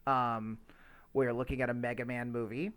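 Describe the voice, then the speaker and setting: A man's voice: medium pitch, level tone, moderate pace, fairly bright tone, clean tone. A man co-hosting a podcast in a casual discussion, talking in a rambling, hesitant way with lots of filler words. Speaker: podcast co-host.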